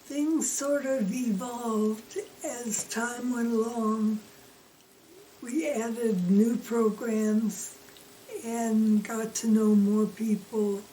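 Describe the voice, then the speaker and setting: An older woman gently reminiscing about her years of service. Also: on the high side, fairly even intonation, very slow, distant mic, a little hiss or hum, rough recording.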